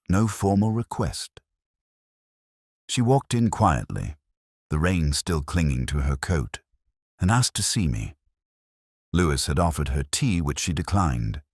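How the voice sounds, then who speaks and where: A man playing a melancholy, reflective police detective, narrating a literary mystery in the first person with a measured, brooding delivery. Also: rumbling low, dry recording, studio quality, full character voice. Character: melancholy, reflective male police detective telling his story.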